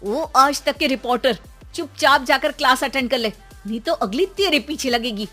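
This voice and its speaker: A woman playing an exasperated, sharp-tongued Indian mother who scolds and nags with theatrical sarcasm in a comic audio drama. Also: high-pitched, moderate pace, faint music behind. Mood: scolding.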